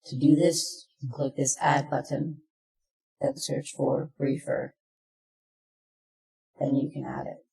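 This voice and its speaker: A presenter narrating a software tutorial in plain, step-by-step instructions, recorded in an echoey room. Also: medium pitch, level tone, slow pace, vocal fry, pauses often.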